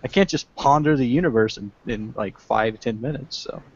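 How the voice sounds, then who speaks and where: A man's voice: mid-range voice, slightly rough. A young man co-hosting a casual political podcast from home over a video call, joking and laughing as he talks. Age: young adult. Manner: joking, laughs often, drawn-out delivery. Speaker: podcast co-host. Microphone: webcam mic on video call. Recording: dull lo-fi video-call audio.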